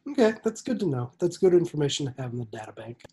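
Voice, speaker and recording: A man's voice: a little high, sing-song, quick pace, mellow, husky. A man co-hosting a movie podcast in casual chat, dry and teasing. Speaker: podcast co-host.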